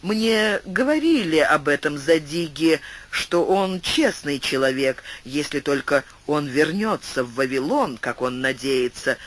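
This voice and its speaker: A man acts out a sorrowful wandering hero of a storybook. He speaks courteously and deliberately, in a high, animated male voice. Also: exaggerated voice.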